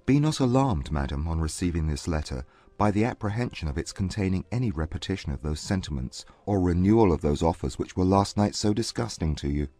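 An actor playing a proud, haughty young Regency gentleman in a dramatized audiobook, speaking in a formal, composed, measured way. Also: deep voice, expressive, dry recording. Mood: stiff, wounded pride. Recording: dry close recording with music behind. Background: music.

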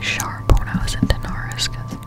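A young woman speaks entirely in a soft, breathy whisper, very close to the microphone. She gives ASMR-style commentary over gameplay, thinking aloud in a loose, rambling way. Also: normal speed. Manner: rambling, musing aloud to herself. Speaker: gameplay streamer. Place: indoors at a home computer. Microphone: close-up desktop condenser mic.

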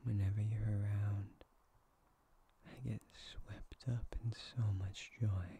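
A man playing a tender, playful young boyfriend in an intimate ASMR roleplay, speaking in a soft, breathy, hushed voice that often slips into whispers. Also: on the low side, flat delivery, takes it slowly, a little hiss or hum. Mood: tender, devoted.

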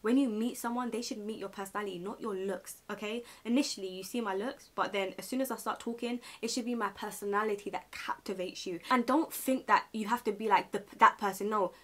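A woman's voice: medium pitch, fairly even intonation, a little fast, hardly any pauses, fairly bright tone. A young woman chatting casually in a motivational way, candid and emphatic, repeating phrases to drive points home. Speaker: vlogger giving self-esteem advice. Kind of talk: casual motivational chat to camera.

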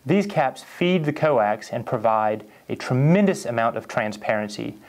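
Male company rep, thirties, mid-pitched voice, formal and articulate scripted product demo. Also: darkish tone. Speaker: company representative presenting a product. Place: carpeted indoor listening room with acoustic panels, seated.

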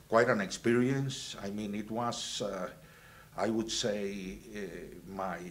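A man, from Venezuela and raised in Guatemala, recalling his student years in a halting way with many fillers and restarts. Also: lowish voice, fairly bright tone. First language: Spanish. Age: 70s. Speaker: alumnus interviewee. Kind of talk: reminiscing interview. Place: indoors, plain backdrop.

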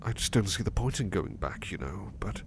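Casting: male actor as gentle sleepy servant for ASMR roleplay, low creaky voice, anxious, halting, devoted. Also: lively intonation. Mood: uneasy, reluctant. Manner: hesitant, stammering, apologetic. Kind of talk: soft-spoken ASMR roleplay acting. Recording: faint background noise.

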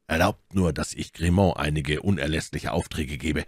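A man voicing a calm, commanding and wry musketeer in a measured way for an audiobook. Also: rumbling low, husky, no echo, studio quality. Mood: cool, wry. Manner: measured, unhurried, authoritative. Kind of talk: audiobook character dialogue.

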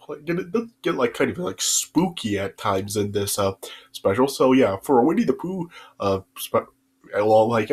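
A man's voice: mid-range voice, slightly rough. A young man with a stuffy nose from a cold, rambling through a casual video review full of fillers and restarts, recorded on a webcam in a small bedroom. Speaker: YouTube reviewer. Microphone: webcam at desk distance.

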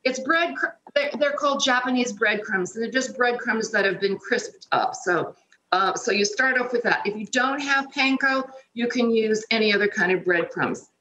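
Middle-aged female guest, chatty cooking demo, higher register, roomy kitchen echo. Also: normal speed, sharp tone, a little hiss or hum. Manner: chatty, step-by-step instructional. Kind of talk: cooking demonstration on a morning show. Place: home kitchen, indoors.

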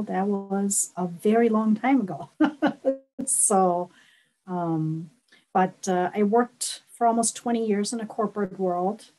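A middle-aged woman talks over a headset on a video call. Her pitch sits in the middle of the range. She tells her story warmly and loosely, with plenty of fillers along the way. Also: poor audio. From East Germany. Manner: warm storytelling, frequent laughs and fillers. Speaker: guest, horse body-work therapist.

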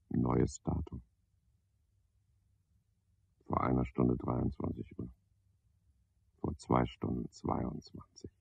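A man's voice: very deep voice, creaky, warm tone, breathy edge, dry recording, heavily acted. An actor playing a self-important, touchy chairman of a mushroom club in a radio play, talking with cajoling pomposity. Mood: brooding, hushed. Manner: pompous, cajoling, one-sided conversation. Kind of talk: 1970s German radio play acting. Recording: dull, band-limited 1970s radio recording.

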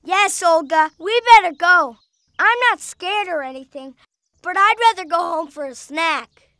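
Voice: extremely high pitch, a little slow, sharp tone, no echo, clean studio sound, full character voice. An actor voicing a confident, reasonable young animal in a children's cartoon, speaking in an assured, explanatory way. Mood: uneasy.

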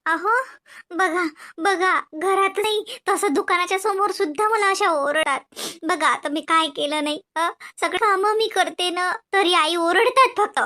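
A woman's voice: very high voice, measured pace, pushed and bright, heavily acted. A woman voicing a young daughter-in-law who complains and pleads her case to the listener. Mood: complaining.

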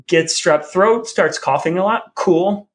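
Casual male podcast co-host, slightly high voice. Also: takes it slowly.

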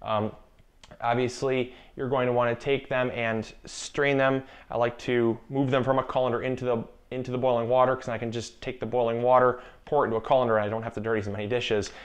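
A man's voice: medium pitch, flat delivery, brisk. A young man in his twenties, chatty and instructive, talking through a canning demonstration in a home kitchen on a lapel mic. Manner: chatty, instructive, repeats key warnings. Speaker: gardening channel host. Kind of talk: casual cooking demonstration.

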